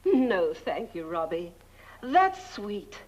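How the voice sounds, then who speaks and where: A woman's voice: medium pitch, very animated, slow pace, darkish tone. A woman playing a sweet, dotty, genteel older spinster in a horror-comedy film, fluttery and cloyingly polite, on a dull soundtrack. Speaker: actress.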